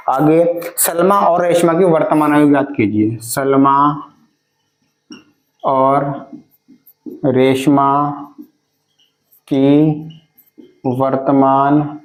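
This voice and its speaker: A man in his twenties teaching math for an exam, addressing students directly and reading word problems aloud slowly, word by word. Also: on the high side, a little mellow, roomy sound, rough recording. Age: 20s. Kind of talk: exam-prep video lesson.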